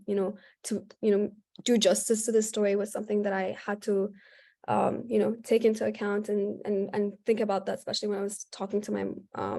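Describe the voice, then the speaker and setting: A woman's voice: medium pitch, creaky, a little mellow. A young woman from Qatar who grew up there, speaking casually and reflectively as a writer on an online panel, with many fillers, over a video call. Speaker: workshop writer.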